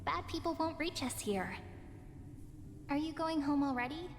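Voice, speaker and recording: An actress playing a gentle, playful village girl in a video game, soft and reassuring in her teasing. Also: high-pitched, expressive, moderate pace. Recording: reverberant hall, music underneath. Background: loud music.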